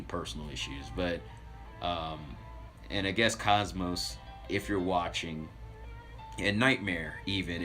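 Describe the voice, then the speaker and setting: Deep voiced guy streaming, rambly casual storytime chat w viewers, music in the background. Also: bright, forceful tone. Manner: rambling, chatty, addressing viewers. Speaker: livestream host.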